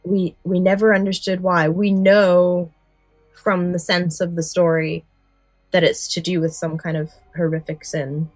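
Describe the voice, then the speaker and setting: A woman's voice: on the low side, little pitch movement, unhurried, dry recording, soft background music. A woman co-hosting a podcast in casual chat, recounting favourite stories and often correcting herself. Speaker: podcast co-host.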